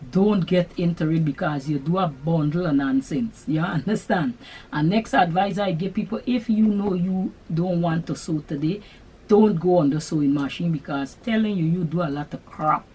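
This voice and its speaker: A middle-aged woman with a Guyanese accent, hosting a sewing channel and answering viewer questions in an unhurried, chatty way. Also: low-pitched, darkish tone, breathy edge, rough recording. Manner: unhurried, chatty, Creole-tinged phrasing. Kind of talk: casual Q&A chat to camera.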